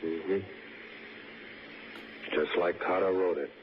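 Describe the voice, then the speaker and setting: An actor playing a coolly persistent police interrogator in a 1960s radio drama. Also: male voice, sits low, fairly even intonation, slow pace. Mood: cool, probing. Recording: noisy, dull old radio broadcast.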